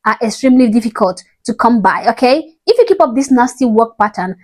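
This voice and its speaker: A woman's voice: on the high side, expressive, fairly quick, a little mellow. A young woman giving an emphatic, preachy opinion commentary to camera from her bedroom, often tagging her points with "okay?", on a lapel mic. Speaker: vlogger. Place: bedroom indoors.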